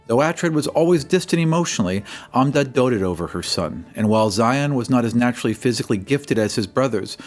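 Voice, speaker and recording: A man giving a scripted introduction as a Dungeon Master for a fantasy tabletop game. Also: medium pitch. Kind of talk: scripted narration, campaign introduction. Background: music.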